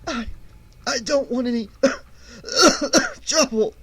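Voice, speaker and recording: A man's voice: high voice, a little fast, voice pushed up, lightly acted. A man voicing a nervous male shop clerk who tries to sound polite and firm. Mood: wheezing, scared. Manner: polite, then strained and wheezing.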